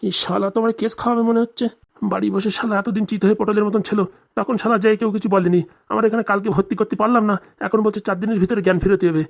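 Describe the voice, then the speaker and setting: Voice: mid-range voice, steady tone, racing, gravelly, lightly acted. A performer voicing a nervous, evasive small-time male village doctor in a comedy cartoon, stammering, pleading and grumbling. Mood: grumbling, scheming.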